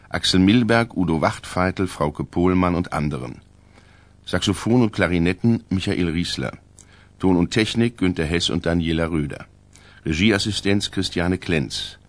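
A man's voice: low-pitched, unhurried, no echo. A man reading scripted radio drama credits as an announcer.

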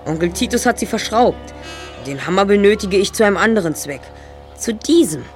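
A woman voicing a clever teenage boy detective in a radio play, calm and explaining. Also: mid-range voice, a little fast, over music, close to an everyday voice. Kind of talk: amateur radio play acting.

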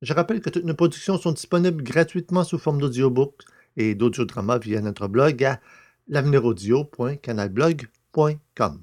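A man's voice: mid-range voice, moderate pace, a little mellow. Male narrator, mid-range voice, scripted reading for a sci-fi audio drama.

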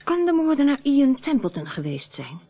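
A woman's voice: sits high, big pitch swings, moderate pace, slight background noise. Anxious, caring woman, tinny sound. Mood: curious, concerned. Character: caring, anxious female confidante of an investigator. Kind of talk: radio drama acting. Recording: narrow-band, phone-like old radio recording.

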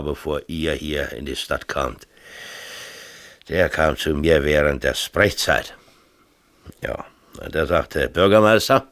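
An actor playing an agitated, self-pitying middle-aged mayor in a radio drama, rambling and insistent in colloquial talk. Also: male voice, a bass voice, fairly bright tone, exaggerated voice. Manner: rambling, insistent, peppers talk with tag questions. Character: agitated, self-pitying small-town mayor and exasperated father. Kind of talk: 1970s East German radio drama acting, colloquial dialogue.

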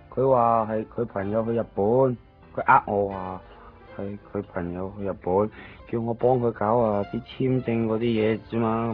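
A man's voice: mid-range voice, natural voice. A man with a Hong Kong Cantonese accent playing a nervous, apologetic, evasive friend in a radio drama. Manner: hesitant, stammering, pleading. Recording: dull, lo-fi 1990s radio recording with music.

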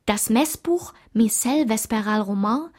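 A woman's voice: fairly high pitch, animated, measured pace, a little mellow. A woman narrating a literary radio play, reading aloud in a composed way.

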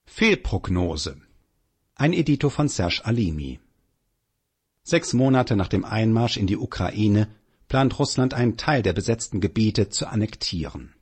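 A man's voice: fairly low pitch, animated, measured pace, breathy edge. A man giving a scripted reading of a written article. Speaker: article reader. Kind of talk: scripted narration of a political article.

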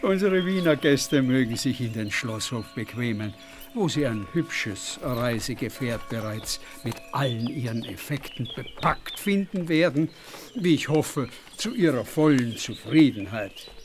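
An actor playing a jovial, generous aristocratic count in a period radio drama, with a hearty, courtly manner. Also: male voice, medium pitch, noisy background.

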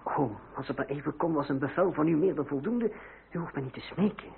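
A man's voice: on the high side, fairly quick, creaky. An actor playing a proud, headstrong young man in a radio drama, with formal, emphatic, theatrical delivery. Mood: unyielding. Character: proud, headstrong young nephew in revolutionary politics. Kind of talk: old radio drama acting. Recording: crackly, narrow-band old radio recording.

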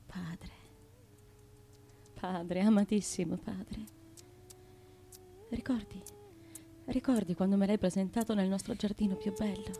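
An actress playing a tormented, dutiful daughter and tyrant's wife of ancient Greece in a feverish, halting radio monologue. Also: female voice, medium pitch, close to an everyday voice. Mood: pleading, submissive. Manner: feverish, halting, repeating words, swinging between hush and intensity.

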